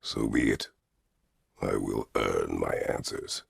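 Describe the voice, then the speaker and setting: An actor playing a stern, weary, middle-aged Greek war god in a video game, speaking tersely and formally with a gravelly, husky voice. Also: male voice, extremely low pitch, slow, dry recording, clean studio sound, exaggerated voice. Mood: stern, brooding. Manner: terse, grave, formal phrasing without contractions. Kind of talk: dramatic video game voice acting.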